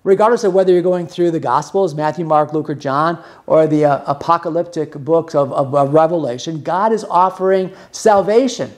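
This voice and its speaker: An older man in his sixties, teaching scripture with earnest, plain talk. His voice sits a bit higher than most men's, and he often restarts words mid-thought. He's recorded on a desk camera in a small room. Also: normal speed, few pauses. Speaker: podcast host teaching the Bible. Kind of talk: Bible teaching monologue. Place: small room with music gear behind.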